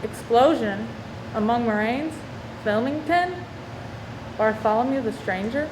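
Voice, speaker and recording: A grave, withdrawn wife, acted by a woman, speaks curtly in a radio play. Her voice is a little high, recorded in an echoey room with clear noise behind. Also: lively intonation, unhurried. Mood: anxious. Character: grave, troubled married woman, curt and withdrawn.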